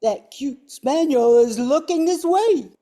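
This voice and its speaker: Woman as an eager high-voiced dog. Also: lively intonation, slow pace, warm tone. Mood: eager, smitten. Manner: exclaims with spoken barks. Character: eager, lovestruck show-off golden retriever dog. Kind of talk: amateur radio play acting.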